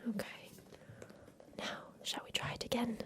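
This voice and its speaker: A woman playing a doting, eccentric mansion inventor in a soft, deliberate ASMR roleplay with formal, old-fashioned phrasing. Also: natural voice. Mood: soothing, doting. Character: doting eccentric mansion inventor, plotting against her captor.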